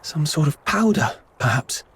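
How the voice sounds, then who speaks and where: A man's voice: on the high side, very animated, moderate pace, a bit bright, husky, exaggerated voice. A man voicing an earnest, caring and impulsive young hero in a video game. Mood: thoughtful, uneasy. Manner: earnest, intent.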